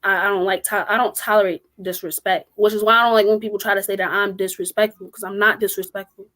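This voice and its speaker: A woman's voice: medium pitch, steady tone, fast talker, sharp tone. Blunt young woman speaking her mind. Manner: blunt, emphatic, strong-willed. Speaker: podcast co-host. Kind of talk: casual group discussion.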